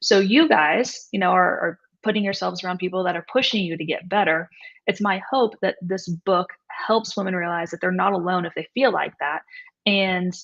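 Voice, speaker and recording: A woman's voice: lowish voice, moderate pace, fairly bright tone. Woman in a muffled lo-fi recording. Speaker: author and coach, interview guest. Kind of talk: casual interview talk.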